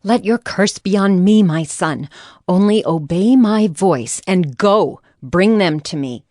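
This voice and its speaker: A woman's voice: mid-range voice, lively intonation, takes it slowly, a little mellow, no echo, clean studio sound. A woman playing a firm, scheming mother of grown sons in a dramatized Bible, urging and commanding. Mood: insistent. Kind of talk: dramatized Bible audio acting. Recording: music under the voice.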